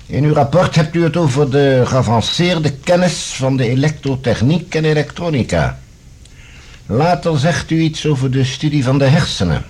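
A man acting a formal, authoritative general in a science-fiction radio drama, with a husky voice and a deliberate, questioning manner. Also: a little high, expressive, darkish tone. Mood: probing, measured. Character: authoritative male general, probing and measured questioner.

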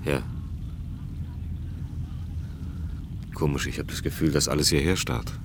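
An actor playing an uneasy, guarded lover in a German radio play, speaking in terse, halting, evasive phrases. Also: male voice, extremely low pitch, steady tone, slight background noise. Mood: evasive, distracted.

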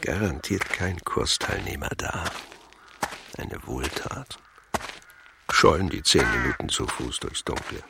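Grumbling night walker, deep creaky bass. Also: male voice, expressive, slow pace, noisy background, heavily acted. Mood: wry muttering. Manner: muttering to himself, wry. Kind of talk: radio play monologue.

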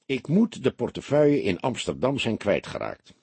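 A man's voice: medium pitch, very animated, moderate pace, gravelly, darkish tone, slightly rough, dry recording, full character voice. A man voicing an earnest, polite businessman explaining himself in an audiobook. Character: earnest, round-faced businessman giving his account.

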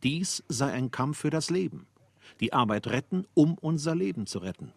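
An actor playing an earnest, proud factory worker who narrates in a literary radio play, with a husky voice. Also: male voice, on the high side, expressive, moderate pace, no echo.

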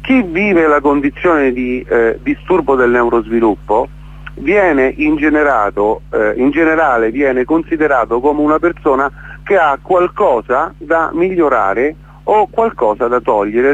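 A man's voice: medium pitch, lively intonation, moderate pace, no room sound. A man being interviewed on the radio as an event organiser, explaining emphatically and with emotion, repeating words for stress. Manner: impassioned, emphatic, repeats words, checks with "capito?". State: emotionally worked up. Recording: dull, lo-fi radio sound.

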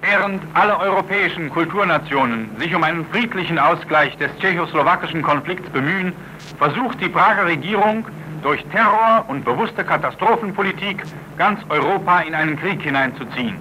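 A man's voice: a little high, brisk, sharp tone. A man reading 1930s propaganda newsreel narration in a strident, declamatory manner. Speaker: newsreel announcer. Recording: slightly noisy 1930s newsreel archive.

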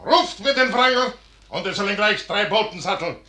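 An actor playing a proud, brooding army general in a classical verse radio drama, with gravelly, weighty, declamatory speech. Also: male voice, high-pitched, lively intonation, moderate pace, pushed and bright. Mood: resolute, grave.

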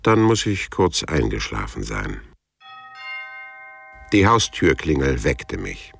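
A man's voice: a bass voice, very animated, slow pace, gravelly, a bit bright, full character voice. An actor playing a laconic, probing private detective who narrates his case tersely and matter-of-factly in a German radio crime drama. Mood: detached narrating. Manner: dry, matter-of-fact, terse.

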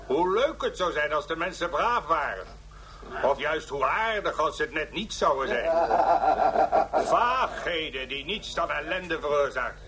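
Cranky philosopher ranting in a radio play, high scornful voice, bit of noise behind. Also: male voice, animated, a little slow. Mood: contemptuous. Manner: scornful, pompous. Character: cantankerous, contemptuous male philosopher ranting against philosophers. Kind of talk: radio drama acting as a lecturing thinker.

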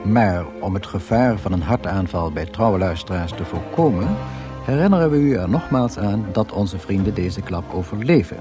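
An actor playing the dryly reassuring narrator of a space radio play, with wry formality. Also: male voice, sits low, expressive, normal speed. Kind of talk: scripted narration. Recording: music behind the narration.

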